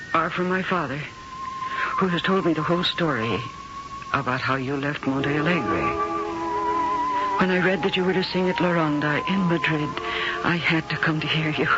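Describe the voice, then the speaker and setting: An actress playing a famous, weary, warm and emotional singing star in a 1970s radio drama. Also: female voice, on the low side, close to an everyday voice. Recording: dull 1970s radio recording, music behind.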